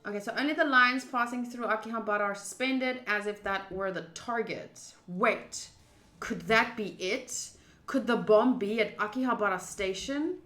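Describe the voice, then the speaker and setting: A woman livestreaming a visual novel playthrough, switching between casual chat and expressive reading of the game text aloud. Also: mid-range voice, normal speed, reverberant. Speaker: streamer playing a visual novel.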